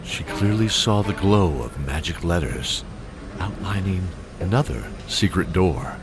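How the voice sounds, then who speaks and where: Male narrator, very deep voice, scripted fantasy storytelling, steady delivery. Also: a little slow, loud music behind the voice.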